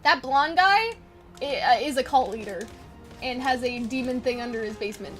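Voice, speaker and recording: A woman's voice: on the high side, animated, normal speed, faint music behind. A woman hosting an online video, chatting casually in a rambling, informal, unscripted way. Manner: rambling, informal, occasionally sweary. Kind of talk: casual unscripted brainstorming chat.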